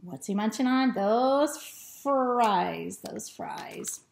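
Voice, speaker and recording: Woman voicing an excitable, greedy storybook dog, high-pitched. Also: creaky, slight background noise, heavily acted. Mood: excited, busy. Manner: exclamatory, drawn-out words and short repeated sniffing phrases. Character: excitable, greedy male dog narrating his food adventures. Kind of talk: expressive children's storybook reading.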